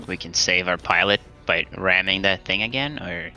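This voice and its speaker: A man chatting casually and excitably as a tabletop game player, slangy and sweary. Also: low voice, moderate pace, over music.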